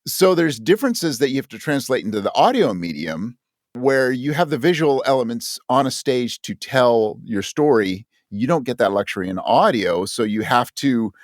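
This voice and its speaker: A man's voice: medium pitch, lively intonation, moderate pace, no echo, clean studio sound. A man giving thoughtful, reflective answers as an interview guest, searching for his words as he explains. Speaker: podcast guest, audio drama creator.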